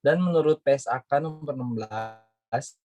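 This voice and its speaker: A man's voice: mid-range voice, normal speed, darkish tone, no room sound. A young man reading out definitions in a student group presentation. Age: young adult.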